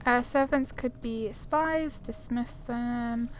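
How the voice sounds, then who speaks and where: A woman's voice: a little high, slow pace. A woman giving casual, chatty commentary on a game playthrough, wandering off on tangents and reading dialogue aloud. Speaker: gaming streamer. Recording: faint background noise.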